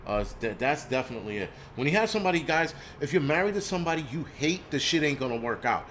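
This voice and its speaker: A man's voice: on the high side, sing-song, quick pace, fairly bright tone, a little hiss or hum. A man, hosting a casual live stream in a blunt, profane, rambling rant. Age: 40s. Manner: rambling with frequent restarts. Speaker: host.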